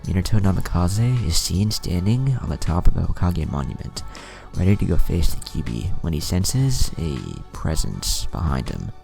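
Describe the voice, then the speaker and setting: A man with a gravelly voice reading a scripted fan fiction story as narrator. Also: low-pitched, sing-song, normal speed, hardly any pauses, over music.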